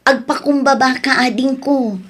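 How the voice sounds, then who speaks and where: A woman's voice: sits high, fairly even intonation, moderate pace. A woman with an Ilocano accent playing a concerned, advising elder sister in an audio drama. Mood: pleading, advising.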